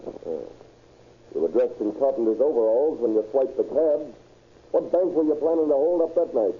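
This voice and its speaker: An actor playing a dogged, wry police lieutenant who questions with calm, dry, needling patience in an old radio crime drama. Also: male voice, medium pitch, normal speed, breathy edge, natural voice. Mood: pressing, confident. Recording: scratchy, tinny old radio recording.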